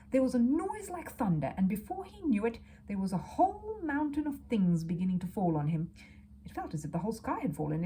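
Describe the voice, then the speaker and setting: A woman reading a children's book aloud as a storyteller, expressive and dramatic, dropping into hushed asides. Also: medium pitch, a little hiss or hum, low-quality recording. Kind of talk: expressive children's audiobook narration.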